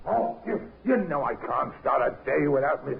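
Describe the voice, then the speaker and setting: An actor playing an ailing, grumbling husband with a creaky, strained voice in a 1950s radio drama. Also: male voice, mid-range voice, sing-song, moderate pace. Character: ailing, grumbling husband poisoned by his morning salts. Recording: scratchy, tinny 1950s radio recording.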